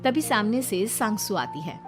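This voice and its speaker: A woman's voice: medium pitch, sing-song, normal speed, over music. A woman narrating a scripted Hindi cartoon story as a storyteller.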